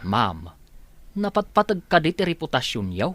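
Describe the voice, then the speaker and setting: Earnest, animated, preachy servant. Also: male voice, on the high side, moderate pace, no echo. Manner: respectful yet preachy. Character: earnest, moralising male household servant addressing his mistress. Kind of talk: radio drama acting.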